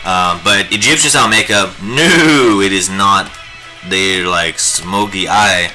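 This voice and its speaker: A man's voice: low-pitched, takes it slowly, sharp tone, faint music behind, poor audio. A young man hosting a live painting stream, chatting in a rambling, jokey way while he works. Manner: rambling and jokey, lots of fillers. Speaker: livestream host teaching digital painting.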